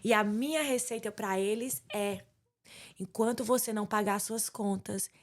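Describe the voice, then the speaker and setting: A woman's voice: mid-range voice, moderate pace. A woman pastor in her forties from Paraíba with a Northeastern Brazilian accent, speaking earnestly as a guest in an interview. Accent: Northeastern Brazilian Portuguese. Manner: earnest, reflective, with frequent restarts. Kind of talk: conversational interview.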